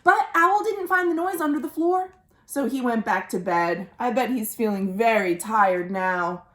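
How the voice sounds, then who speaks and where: A woman reading a picture book aloud for young children as a storytime host, animated, with questions to listeners and dramatic pauses. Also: on the high side. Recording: roomy, echoing indoor sound.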